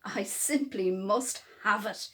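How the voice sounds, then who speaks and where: A woman's voice: medium pitch, slow pace, sharp tone, pitched below the performer's own voice. A woman voicing a greedy, stubborn male squirrel in a storybook, hollering bossily and possessively. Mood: longing. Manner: hollering, bossy.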